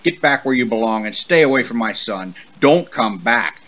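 A man voicing a stern, angry father horse in a children's storybook, forceful and commanding. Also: medium pitch, animated, moderate pace, highs cut off, close to an everyday voice. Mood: stern, threatening.

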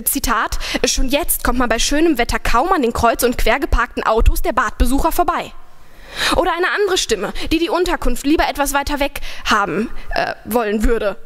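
A young woman on a large dark stage, speaking into a stand mic at a poetry slam: chatty and halting at first, then reading her text in an earnest, ironic tone. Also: high voice, lively intonation. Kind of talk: spoken word performance.

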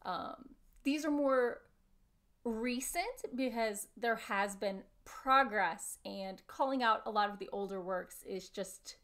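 A woman in her thirties giving an explanatory talk to camera at home, with deliberate phrasing. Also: mid-range voice, fairly bright tone. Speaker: vlogger presenting panel notes. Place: small furnished room at home, indoors.

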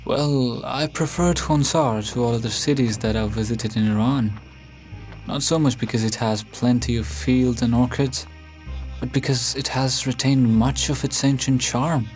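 A man playing a solitary, brooding recluse in a radio drama, speaking formally and bluntly in measured phrases. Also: mid-range voice, fairly even intonation, a little mellow, faint music behind, natural voice. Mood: brooding, disdainful. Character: solitary, brooding man who shuns modern life.

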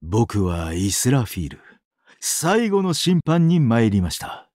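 An actor playing a sadistic, weeping angel of doomsday in a mobile game, exaggeratedly polite and dramatic, swinging between sorrow and glee. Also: male voice, mid-range voice, very animated, slow, a little mellow, no room sound, studio quality. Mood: solemn, courteous. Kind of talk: Japanese mobile game character acting.

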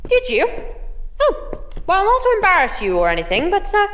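A woman's voice: extremely high pitch, huge pitch swings, normal speed, a bit bright, heavily acted. A woman playing a bold, cheeky journalist in an audio drama, talking in a playful, teasing and confident way. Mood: sly. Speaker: Eleanor Agnew.

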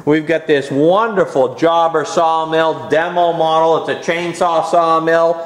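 Slow-talking man touring an echoey barn. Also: a little high. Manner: steady listing with frequent "uh" pauses. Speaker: auctioneer previewing auction items. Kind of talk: walkthrough listing items for sale. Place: large indoor auction barn full of tools.

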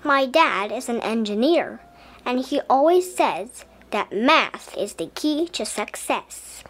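A woman reading a children's story aloud in an expressive, deliberate storybook style. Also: fairly high pitch, faint music behind. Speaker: children's book reader. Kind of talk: expressive scripted storybook narration as a young boy.

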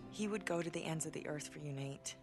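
An actress playing a wry, teasing young American journalist in a video game. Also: female voice, sits low, fast, music in the background. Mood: concerned.

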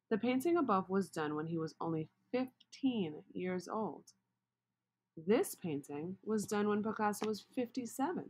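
A woman reading a children's picture book aloud, expressive and asking the listener questions. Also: medium pitch, husky. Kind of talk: read-aloud storybook narration.

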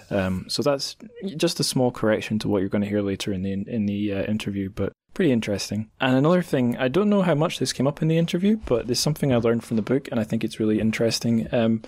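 A man with a fairly low voice talks as a podcast host. His delivery is informal and rambling, with a self-deprecating streak. The sound is dry and close. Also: a little mellow, dry recording. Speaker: podcast host interviewing a guest. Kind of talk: casual, rambling podcast talk.

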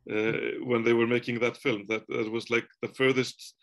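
A man in his forties, a native Icelandic speaker, talking on a video call from a room at home, thoughtful and halting with many restarts. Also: medium pitch, flat delivery, fairly bright tone. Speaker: author. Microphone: video call webcam.

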